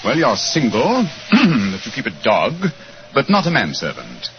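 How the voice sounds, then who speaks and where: An actor playing a cool, logical master detective in a 1940s radio drama, speaking dry and precise. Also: male voice, medium pitch, huge pitch swings, measured pace. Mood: wry. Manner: dry, precise, faintly amused. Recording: dull old radio broadcast with hiss.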